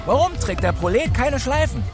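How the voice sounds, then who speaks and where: An actor playing a boastful working-class ex-convict street hawker with a Berlin accent and colloquial patter in a radio drama. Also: male voice, extremely high pitch, big pitch swings, normal speed. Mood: indignant hawking. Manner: loud haranguing sales patter, colloquial. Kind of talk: German radio drama acting. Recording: music behind the voice.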